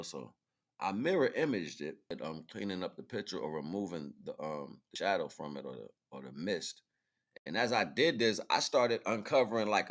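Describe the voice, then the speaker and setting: Very deep-voiced man, casual livestream host. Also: a bit bright. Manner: informal, slangy, speaking in halting fragments. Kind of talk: casual, rambling spiritual commentary on pictures.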